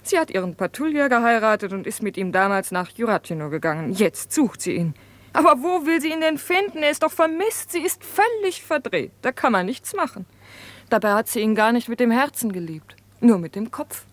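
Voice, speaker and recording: A woman's voice: mid-range voice, lively intonation, fairly quick, dry recording, natural voice. Actress as an emphatic, blunt young working woman. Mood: animated, exasperated. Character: brisk, emphatic, bossy but loyal young working woman. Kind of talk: 1950s German radio drama acting.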